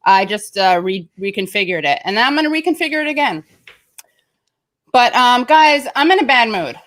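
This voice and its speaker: A woman's voice: on the high side, expressive, moderate pace, a bit bright. A woman hosting a casual online talk show, chatty and self-mocking, with a slightly nasal voice. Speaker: show host. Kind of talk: casual livestream banter.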